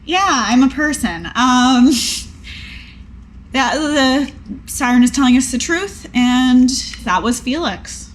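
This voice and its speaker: A woman voicing an earnest, modest young female adventurer in a tabletop game, talking in a hesitant, gentle, casual way. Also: sits high, expressive, takes it slowly, rough recording. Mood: matter-of-fact.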